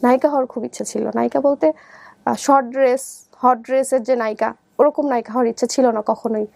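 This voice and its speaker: A woman's voice: on the high side, steady tone, quick pace, gravelly, darkish tone, dry recording. A young woman with a Bangladeshi accent telling her personal story in an interview, conversationally acting out what people said. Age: young adult. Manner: conversational, often re-enacts quoted dialogue.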